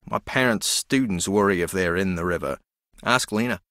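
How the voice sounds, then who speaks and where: A man's voice: low voice, moderate pace, fairly bright tone, dry recording, clean studio sound. An actor playing a dreamy, easily confused young man in a video game, earnest, naive and meandering as he muses.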